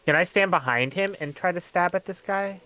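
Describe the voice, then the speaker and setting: A man's voice: high-pitched, brisk, sharp tone, poor audio. A man playing a tabletop game, chatting casually and hesitantly, often repeating himself.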